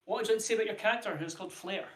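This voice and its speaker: A man's voice: high voice, very fast. A man hosting a streamed tabletop game as game master, chatty and jokey, rambling between players. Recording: echoey room.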